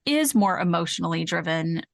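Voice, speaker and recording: A woman's voice: lowish voice, animated, moderate pace, gravelly, dry recording, studio quality. A woman co-hosting a true-crime podcast, talking casually and conversationally. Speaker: podcast co-host.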